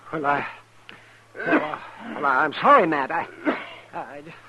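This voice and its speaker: An actor playing a blunt, flustered town doctor in an old-time radio western, speaking haltingly. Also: male voice, a little high, big pitch swings, crowd noise. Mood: distressed. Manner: halting, hesitant. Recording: noisy vintage radio recording.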